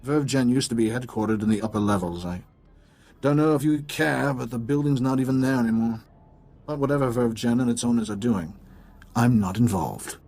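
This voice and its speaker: A man's voice: medium pitch, a little fast, darkish tone, natural voice. An actor playing a pompous, wealthy and shady businessman who is imperious and easily panicked, in a video game. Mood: weakened, submissive. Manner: imperious, blustering.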